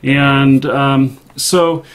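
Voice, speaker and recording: A man hosting a casual live interview over an online call, with halting, hesitant phrasing. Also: medium pitch, steady tone. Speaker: live stream host. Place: indoor office.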